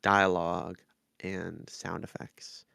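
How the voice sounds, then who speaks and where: Man, gravelly fry, fairly low voice, casual podcast chat. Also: level tone, takes it slowly, pushed and bright, no room sound, studio quality. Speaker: podcast host.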